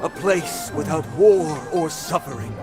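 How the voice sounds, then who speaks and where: An actor playing a grand, teasing teahouse storyteller in a video game. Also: male voice, fairly high pitch, measured pace, close to an everyday voice. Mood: grand, wistful. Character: theatrical male teahouse storyteller spinning legends. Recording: loud music behind the voice. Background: loud music.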